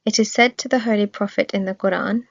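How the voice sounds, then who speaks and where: A woman reading a scripted religious study in a measured, clearly phrased manner. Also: medium pitch, steady tone, muffled recording. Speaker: reader of religious study text.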